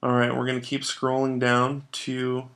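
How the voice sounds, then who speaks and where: A man with a noticeably creaky, fry-edged voice at an average pitch walks through software as a trainer. His delivery is instructional, in the step-by-step style of a screencast tutorial, explaining the reasons as he goes. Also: completely flat delivery, normal speed.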